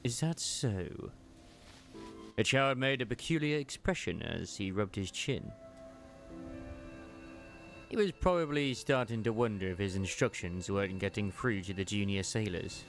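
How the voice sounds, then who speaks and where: A man's voice: on the low side, lively intonation, normal speed, a bit bright. A man giving a dramatic, scripted narration of a story as a fan dub. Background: music.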